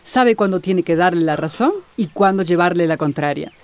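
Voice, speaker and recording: An actress playing a patient, practical housewife with a placating manner in a radio soap opera. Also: female voice, on the low side, normal speed, natural voice. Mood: weary, placating.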